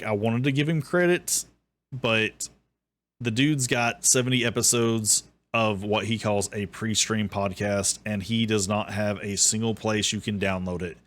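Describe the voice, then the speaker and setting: A man with a deep, bassy voice giving sarcastic, ranting commentary on another streamer's clip. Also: fairly bright tone. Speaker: commentary host. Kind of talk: reaction commentary.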